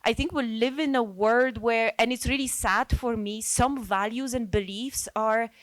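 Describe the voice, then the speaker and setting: A woman's voice: fairly high pitch, measured pace. Earnest Polish-born woman sharing her thoughts. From Poland. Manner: earnest, reflective, thinking aloud. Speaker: NGO worker speaking as a participant. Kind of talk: informal spoken contribution to a group discussion.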